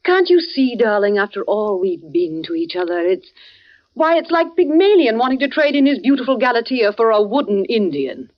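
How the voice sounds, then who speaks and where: An actress playing a glamorous, witty, world-weary stage star with an arch, theatrical manner in a 1940s radio drama. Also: female voice, a little high, very animated, normal speed, no room sound. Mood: mocking, languid. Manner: theatrical, arch, drawling endearments. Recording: muffled old radio broadcast recording.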